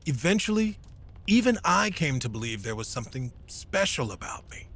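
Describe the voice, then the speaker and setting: An actor playing a battle-hardened knight hero in a fantasy audio drama. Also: male voice, on the high side, big pitch swings, normal speed, fairly bright tone. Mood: rueful, reflective. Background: faint music.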